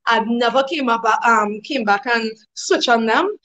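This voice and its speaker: A young Trinidadian woman with a high voice talks easily as a guest on an interview show. She laughs often and keeps dropping "like" and "you know" as she explains things. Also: measured pace, a bit bright. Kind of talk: casual interview chat.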